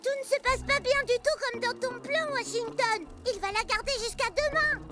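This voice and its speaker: A woman's voice: very high-pitched, moderate pace. A woman voicing a naive little girl piglet in a cartoon, childlike and candid. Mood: worried. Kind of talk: cartoon voice acting. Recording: cartoon music behind.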